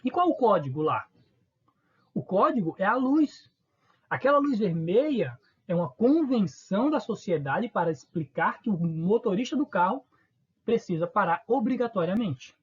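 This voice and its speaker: A man's voice: sits high, big pitch swings, normal speed, slightly rough, highs cut off. A man from Northeast Brazil with a Northeastern accent, teaching a recorded online lesson in an informal way, asking questions and answering them himself. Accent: Northeastern Brazilian Portuguese.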